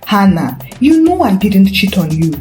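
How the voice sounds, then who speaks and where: A woman's voice: medium pitch, very animated, normal speed, darkish tone, lightly acted. A woman storyteller voicing an earnest, wounded, proud male pastor who speaks with insistence. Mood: pleading, insistent. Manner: intense, insistent. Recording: roomy echo with faint music.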